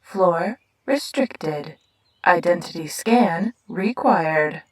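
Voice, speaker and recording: Woman doing a building security computer, super slow flat announcements, impersonal sci-fi drama voice. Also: lowish voice, slight background noise. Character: impassive automated building security computer, female voice.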